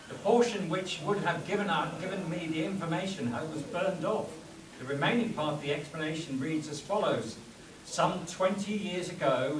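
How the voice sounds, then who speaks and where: Man playing halting, earnest pulp hero, echoey. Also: fairly high pitch, far from the mic, lightly acted. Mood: earnest, grave. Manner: halting, stumbling over the script. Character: Doc, earnest commanding male pulp adventure hero. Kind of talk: spoof radio play, read from script. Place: convention stage before an audience. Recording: echoey convention room from afar, audience noise.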